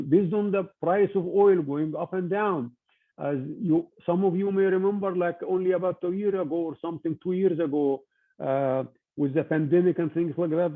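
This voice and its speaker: Older man, gravelly voice, phone-like line. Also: on the high side. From Egypt. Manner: halting, many restarts and fillers. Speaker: guest speaker. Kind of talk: informal lecture to students.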